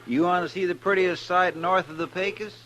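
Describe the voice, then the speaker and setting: An actor playing an eager, talkative deputy in a 1950s radio western, with a rustic, folksy way of speaking. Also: male voice, high-pitched, fairly even intonation, fairly quick, bright, forceful tone, muffled recording. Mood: eager, admiring. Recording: noisy 1950s radio recording.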